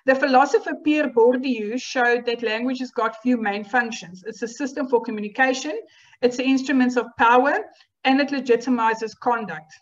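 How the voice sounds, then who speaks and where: A woman whose first language is Afrikaans, giving a university lecture in a measured, explanatory way with rhetorical questions. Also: a little high, fairly even intonation. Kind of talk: academic lecture over slides. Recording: dull lo-fi online lecture audio.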